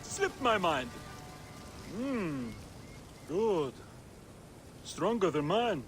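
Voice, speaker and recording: Slippery game trader and informant: glib, joking, lively swooping delivery over clear music. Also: male voice, sits high, very slow, exaggerated voice. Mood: pleased, evasive. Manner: glib, evasive, wheedling. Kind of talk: video game character acting. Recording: music behind the voice.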